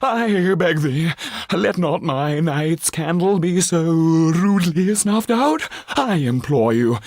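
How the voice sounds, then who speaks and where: A man's voice: fairly high pitch, expressive, takes it slowly, a little mellow, no echo, studio quality, pitched above the performer's own voice. A man voicing a pompous male stage actor who pleads for his life in grand, flowery, theatrical speech. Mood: pleading grandly.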